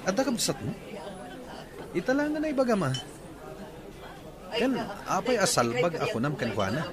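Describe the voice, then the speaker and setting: A man's voice: high-pitched, huge pitch swings, normal speed, crowd noise. A man acting a young, easygoing suitor in a radio drama, with an Ilocano accent. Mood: puzzled. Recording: music bed behind the voice.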